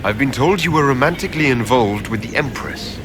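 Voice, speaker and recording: A man's voice: medium pitch, expressive, brisk, noisy background, lightly acted. An actor playing a direct, formal, questioning male hero in a fantasy video game. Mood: inquiring. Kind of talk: video game character dialogue.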